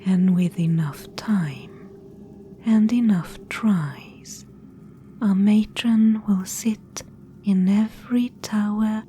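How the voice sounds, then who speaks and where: A woman voicing a sinister, patient spider-woman guide in a breathy, deliberate whisper for a fantasy ASMR roleplay. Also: mid-range voice, lightly acted. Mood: quietly gloating. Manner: slow, hushed, deliberate. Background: music.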